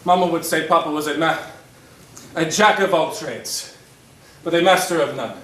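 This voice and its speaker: Actor as bitter prisoner, high-pitched male voice. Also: moderate pace, fairly bright tone, slight background noise, natural voice. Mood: bitter remembrance. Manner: intense, dramatic delivery. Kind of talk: stage play acting. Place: theatre stage, recorded from a distance. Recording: echoey stage, distant microphone.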